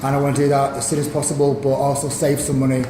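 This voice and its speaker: A man's voice: mid-range voice, level tone, quick pace. A man from Manchester, a former estate agent, introducing himself hesitantly with many ums. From Manchester, England. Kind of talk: self-introduction. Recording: echoey room, noisy.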